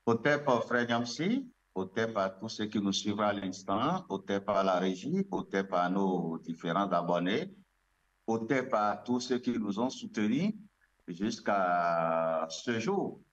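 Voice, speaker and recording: Over a dull, lo-fi connection, a man with a Beninese accent speaks in a fairly low voice. He is a traditional spiritual leader and interview guest, addressing listeners in a ceremonial, invocatory style. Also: expressive, slow pace.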